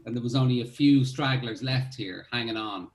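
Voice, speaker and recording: A man's voice: mid-range voice, brisk, roomy sound. An Irish man, a filmmaker, answering interview questions in a reflective way with many fillers and restarts. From Ireland. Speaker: filmmaker who cast the film.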